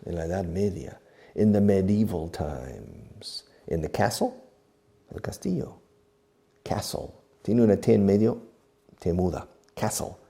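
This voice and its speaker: Man, English teacher, beginner lesson, deliberate demonstrating manner, lowish voice. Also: warm tone. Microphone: video recording.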